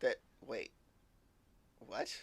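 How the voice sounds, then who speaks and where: A man giving casual live gameplay commentary in a halting, rambling way, often repeating words. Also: fairly bright tone. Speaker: game streamer. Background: faint game music.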